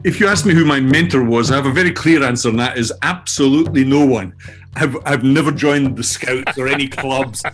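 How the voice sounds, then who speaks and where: An older Scottish man introducing himself as a guest in a loose, halting way, often laughing, over a remote call. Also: mid-range voice, fairly bright tone, soft background music. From Scotland. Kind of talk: casual self-introduction.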